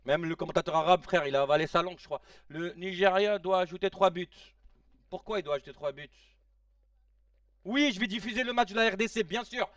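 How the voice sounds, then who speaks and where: Man, late thirties, stream host, excitable, slangy, high voice, dry room. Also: fairly quick, no echo, distant mic. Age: 30s. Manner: excitable, slangy, shouts at players. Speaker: live-stream watch-along host. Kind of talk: casual live-stream chat and match reactions.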